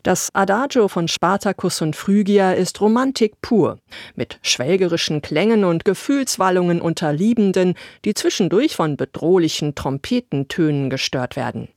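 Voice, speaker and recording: A woman's voice: fairly low pitch, lively intonation, moderate pace, darkish tone, no room sound. Woman presenting classical radio, dry studio. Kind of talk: scripted radio narration.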